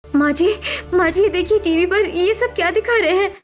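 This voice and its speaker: A woman's voice: extremely high pitch, normal speed. A woman voicing a determined, anxious young daughter-in-law in a cartoon story, earnest and emphatic. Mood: concerned. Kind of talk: dramatic Hindi cartoon story acting.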